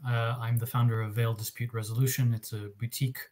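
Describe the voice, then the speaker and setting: A man, a native Russian speaker and arbitration lawyer, giving a measured, articulate panel talk over a video call. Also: mid-range voice, flat delivery, darkish tone, slight background noise. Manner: measured, articulate with light fillers. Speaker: arbitration lawyer, founder of a disputes practice.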